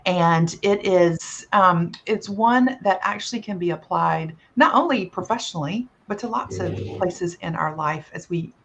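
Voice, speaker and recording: A middle-aged woman hosting a live online panel as a coach, speaking in a friendly, measured way with some ums, over a video call. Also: fairly low pitch, gravelly, fairly bright tone, a little hiss or hum. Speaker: host and coach.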